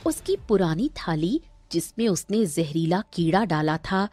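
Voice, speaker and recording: A woman narrating a scripted cartoon story in a dramatic, measured way. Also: on the low side, lively intonation, a little mellow, breathy edge, soft background music.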